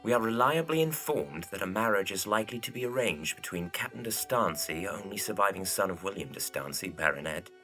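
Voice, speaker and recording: A man plays a lovelorn young architect in a period audio drama. His pitch moves around a great deal, with an earnest manner. He sounds set back from the microphone. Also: expressive, brisk, hardly any pauses, pushed and bright, recorded from a distance, soft background music. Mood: reading aloud.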